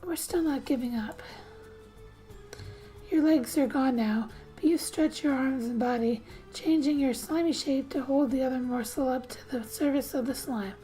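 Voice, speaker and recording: High, hushed, soft-spoken ASMR woman. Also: faint music behind, poor audio. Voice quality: soft, breathy, often near a whisper. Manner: gentle, hushed, unhurried storytelling. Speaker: ASMR gamer and host. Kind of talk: soft-spoken ASMR, reading a game's story aloud with casual asides.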